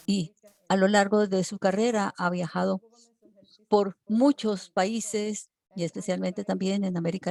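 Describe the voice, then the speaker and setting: A woman working as a simultaneous interpreter for an online seminar. She keeps a steady delivery, broken by frequent hesitation fillers. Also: medium pitch, gravelly. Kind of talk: live interpretation for a webinar.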